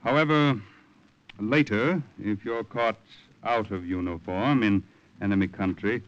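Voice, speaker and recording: An actor playing a sober, authoritative American colonel in a 1950s radio drama, speaking in a measured military manner. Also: male voice, fairly low pitch, close to an everyday voice. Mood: grave. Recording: dull vintage radio recording.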